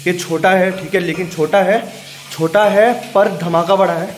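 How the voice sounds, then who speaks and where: A man's voice: high voice, steady tone, moderate pace, slight background noise. A young man with an Indian accent, chatting casually to his phone held at arm's length as a vlogger, mixing in English words. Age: young adult. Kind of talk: casual vlog chat with English words mixed in. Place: on the move in car, garage, home and gym.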